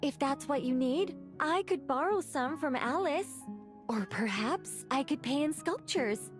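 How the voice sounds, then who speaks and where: High voiced angel lady in a game, theatrical n playful, bit of music behind. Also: expressive, a little slow. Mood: sheepish. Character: playful, boastful, ingenious young woman angel. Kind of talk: scripted video game voice acting.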